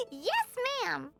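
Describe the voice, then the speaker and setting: A woman voicing a tiny, childlike, excitable fairy companion in an anime video game, squeaky and cute. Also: vocal fry, full character voice. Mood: excited.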